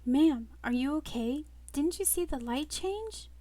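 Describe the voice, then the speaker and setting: A woman voicing an excitable, easily flustered young female student, the bold heroine of a visual novel, in an expressive manner. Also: high-pitched, measured pace, no echo, pitched above the performer's own voice. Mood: concerned.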